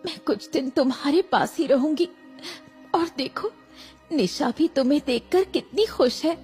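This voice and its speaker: Gentle, remorseful young mother, played by a woman for cartoon horror: soft dark tone, earnest, over light music. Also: high voice, expressive, a little slow. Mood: remorseful, tender. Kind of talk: animated horror story acting. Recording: background music.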